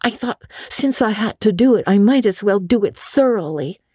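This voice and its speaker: A woman's voice: mid-range voice, lively intonation, moderate pace, a little mellow, breathy edge, dry recording, clean studio sound, voice pushed up. A woman voicing a gushing, imaginative orphan girl with theatrical, earnest delivery in a storybook reading. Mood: proud. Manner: theatrical, effusive, earnest pleading. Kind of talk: dramatic audiobook reading.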